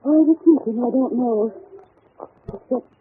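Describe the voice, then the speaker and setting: A woman's voice: on the high side, a little slow, close to an everyday voice. On a tinny, faintly noisy vintage radio recording, an actress plays a young governess with an anxious, well-spoken manner. She confides her fears earnestly and hesitantly, with period drama polish. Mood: nervous, apprehensive. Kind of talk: old-time radio drama acting.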